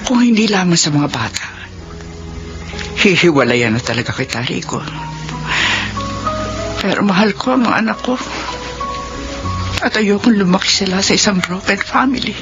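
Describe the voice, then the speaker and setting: Radio drama role: actress as hurt, stern Filipino mother, expressive pitch swings, muffled sound with music. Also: normal speed, long pauses, lightly acted. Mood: wounded, firm. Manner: wounded, firm, dramatic. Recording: dull lo-fi audio, loud music behind.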